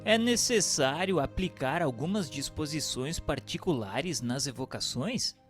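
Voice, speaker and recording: A man playing an earnest questioner in a radio drama, putting formal questions in a measured way. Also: on the high side, expressive. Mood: earnest, inquiring. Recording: music under the voice. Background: background music.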